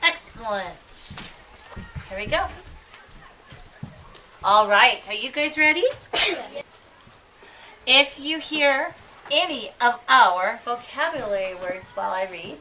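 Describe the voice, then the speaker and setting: A woman teaching elementary pupils in a classroom, asking questions and repeating answers deliberately with encouraging endearments. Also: on the high side, expressive, bright, forceful tone. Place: classroom with children. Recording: distant mic, clearly audible room noise.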